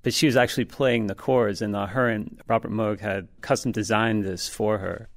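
Male author guest, lowish and creaky, conversational, feeling his way through explanations. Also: fairly even intonation, moderate pace, dry recording. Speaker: guest author and music historian. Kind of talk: conversational interview.

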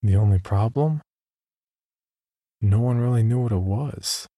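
A man performing scripted first-person horror narration as an uneasy young man, in a measured, confiding way with deliberate pauses. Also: on the low side, warm tone, a little hiss or hum, lightly acted. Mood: reflective, uneasy. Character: uneasy young man recounting his sleep disorder. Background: background music.

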